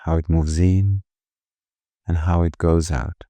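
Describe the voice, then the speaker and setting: A man with a very deep voice guides a meditation gently and slowly. His tone stays very even, with little rise or fall, and he leaves soothing pauses between phrases. The sound is dry and close. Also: dry recording, muffled recording.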